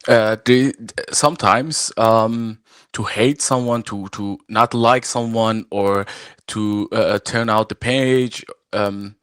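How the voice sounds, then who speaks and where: A man from Iran whose first language is Farsi, talking casually and haltingly on a video call, full of fillers and restarts. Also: lowish voice, steady tone, fairly bright tone, clean tone, no room sound, studio quality. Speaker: guest, arm wrestler and referee. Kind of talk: casual live-stream chat. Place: at home, arm wrestling table behind.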